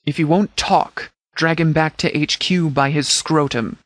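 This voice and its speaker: An actor playing a commanding post-apocalyptic sheriff in a video game, speaking in a clipped, formal, authoritative way. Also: male voice, a little high, fairly even intonation, measured pace, fairly bright tone, dry recording. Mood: urgent, commanding.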